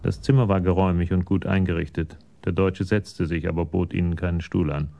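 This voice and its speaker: An actor as the narrator of a crime radio play, reading in a measured, detached manner. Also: male voice, low voice, little pitch movement, vocal fry, mellow.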